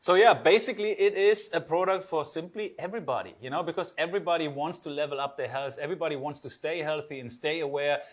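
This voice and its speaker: German-accented man pitching with enthusiasm. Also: fast, hardly any pauses. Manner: enthusiastic, persuasive, non-native phrasing. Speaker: webinar co-host. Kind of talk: promotional webinar talk.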